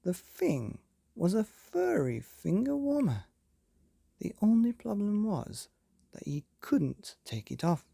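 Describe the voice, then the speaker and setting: Breathy, husky male bedtime storyteller, very animated. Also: sits high, no echo. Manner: theatrical, dramatic emphasis with drawn-out words. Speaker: podcast host and story reader. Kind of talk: bedtime story narration for children.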